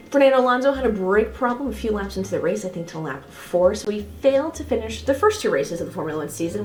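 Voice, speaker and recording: A woman giving a casual, opinionated race review in an informal way. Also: fairly high pitch. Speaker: motorsport analysis video host. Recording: echoey room, faint music behind.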